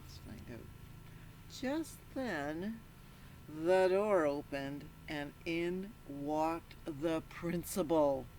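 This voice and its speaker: A woman reading a picture book aloud to children in an animated storytelling style, pausing to put questions to the listeners. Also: lowish voice, vocal fry, a little hiss or hum. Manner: interactive, prompts listeners with questions. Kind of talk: animated read-aloud with asides to young listeners.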